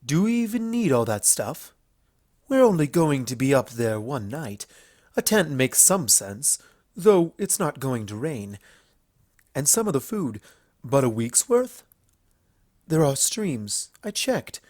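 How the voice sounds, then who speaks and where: A man's voice: mid-range voice, big pitch swings, slow, darkish tone, dry recording, clean studio sound. A man voicing a proud, playful female alicorn pony princess in a storybook reading, articulate and haughty. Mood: questioning. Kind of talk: storybook fan fiction character voice.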